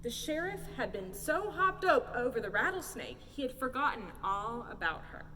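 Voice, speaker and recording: A woman reading a children's picture book aloud in an animated, theatrical storytelling style. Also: on the high side, moderate pace. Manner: theatrical, expressive. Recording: roomy hall, distant mic, faint noise.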